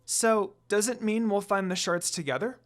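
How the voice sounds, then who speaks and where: A narrator voicing a curious, eager little girl with an excitable, questioning manner. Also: mid-range voice, normal speed, voice pushed up, lightly acted.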